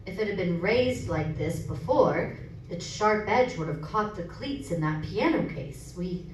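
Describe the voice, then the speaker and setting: A woman's voice: lowish voice, lively intonation, a little slow. A woman acting as a polite, hesitant young male helper in a radio horror drama. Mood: uneasy, hesitant. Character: respectful young man, a nervous helper. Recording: roomy distant recording, clear noise.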